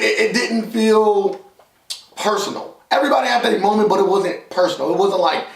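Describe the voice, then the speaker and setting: A man with a slightly high, creaky voice chats through a movie review. He is opinionated and emphatic, repeating phrases to drive points home. The sound is echoey, as if he sits back from the mic. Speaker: film reviewer and co-host. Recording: roomy echo, distant from mic.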